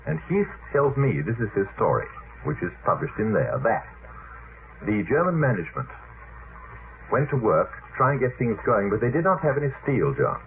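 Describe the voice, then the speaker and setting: Male radio panel guest, animated swooping delivery, emphatic storyteller, narrow-band vintage broadcast sound. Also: fairly low pitch, normal speed. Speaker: guest writer and zoologist. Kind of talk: late-night radio panel discussion, recounting testimony. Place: radio studio. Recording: old radio broadcast, tinny and hissy.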